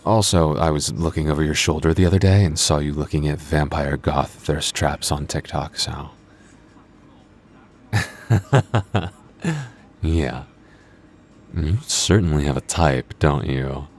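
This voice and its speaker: Very deep-voiced, teasing young vampire guy, acted by a man. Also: takes it slowly, long pauses, faint music behind, heavily acted. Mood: smug, teasing. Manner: hesitant stammering, sardonic banter. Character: flustered teasing young vampire convenience store coworker, male. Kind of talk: ASMR roleplay audio drama.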